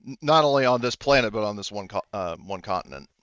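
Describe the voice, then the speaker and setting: Hesitant game master, a clear-voiced man. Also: on the low side, lively intonation, a bit bright, dry recording, dull audio. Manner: halting, rambling, self-correcting delivery. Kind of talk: tabletop game narration, improvised and conversational.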